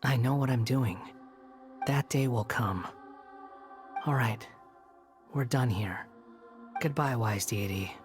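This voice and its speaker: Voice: mid-range voice, slow, long pauses, music in the background, close to an everyday voice. An actor voicing a proud, brooding young wanderer in a video game, dry, curt and aloof in delivery. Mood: resigned. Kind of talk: video game cutscene acting.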